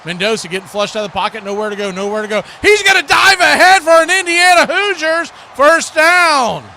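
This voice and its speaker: A man's voice: very high voice, lively intonation, a little fast, pushed and bright, slight background noise. An American man working as a sports host and play-by-play commentator on a live football watch party.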